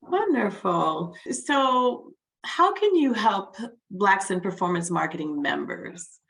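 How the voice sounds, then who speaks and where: A woman moderates a group meeting as host, putting questions to the participants. Her pitch sits in the middle for a female voice and moves about in a very lively way. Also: takes it slowly, creaky, low-quality recording.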